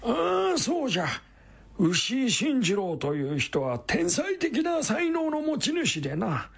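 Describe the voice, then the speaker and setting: Radio drama role: genial, chatty old distillery man, raspy, very animated intonation. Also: very high-pitched, slow, heavily acted. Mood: warm storytelling. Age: older. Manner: old-fashioned folksy elderly speech, chatty. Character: kindly, smiling old male distillery worker. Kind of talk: Japanese radio drama acting.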